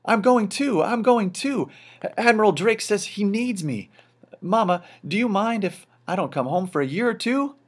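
A man reading a storybook, voicing a meek, modest house painter in a high, eager voice. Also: animated, moderate pace. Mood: elated.

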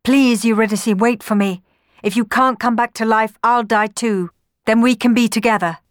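A woman's voice: on the low side, steady tone, normal speed, no echo, studio quality, natural voice. A woman voicing an emotional young man in love from a Greek myth storybook, with a pleading, dramatic delivery. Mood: anguished, pleading. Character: anguished devoted young male lover from Greek myth.

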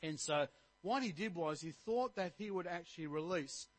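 Middle-aged man, pastor, animated sermon delivery, fairly high voice, dry close sound. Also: moderate pace, no echo. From Australia. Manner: animated preaching, rising to emphatic points.

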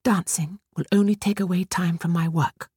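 A woman voicing a dutiful, tearful young peasant girl in a storybook, with a high, breathy, pleading voice. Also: a little slow, dry recording, clean studio sound.

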